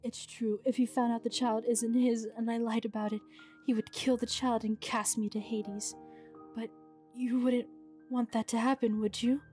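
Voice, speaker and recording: Woman acting scheming goddess mother, mellow voice, imperious, measured, fantasy game roleplay, music underneath. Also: on the high side, level tone, lightly acted. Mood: grave, pleading.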